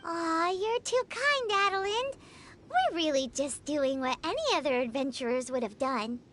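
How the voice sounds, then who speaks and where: A woman's voice: very high voice, expressive, moderate pace, a bit bright, a little hiss or hum. A woman voicing a tiny, childlike fairy companion in a video game, cheerful, cheeky and blunt. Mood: chirpy, eager. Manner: speaks of herself in third person, blunt, chirpy.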